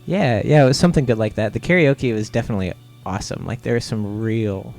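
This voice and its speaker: A man from Ohio, a comic artist guest, giving relaxed, rambling interview answers with lots of "uh"s. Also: fairly low pitch, fast, vocal fry, faint music behind. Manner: laid-back, rambling with many "uh"s.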